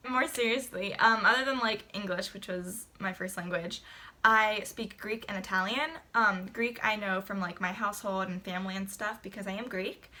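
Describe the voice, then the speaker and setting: A woman's voice: mid-range voice, pushed and bright. A young woman chatting casually in a get-to-know-me vlog, rambling with lots of fillers. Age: young adult. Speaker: vlogger.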